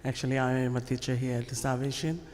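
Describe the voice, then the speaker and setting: Casting: teacher, man, average pitch, short public introduction in an echoing hall. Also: monotone, fast talker, a little mellow. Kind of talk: short self-introduction to a meeting. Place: community hall. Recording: echoey hall, background noise.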